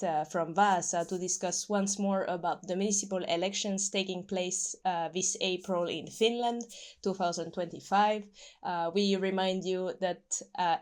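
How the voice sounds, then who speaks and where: A woman's voice: mid-range voice, darkish tone. A woman hosting an online radio interview, introducing the guest and asking questions in a friendly way with frequent fillers. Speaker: interview host.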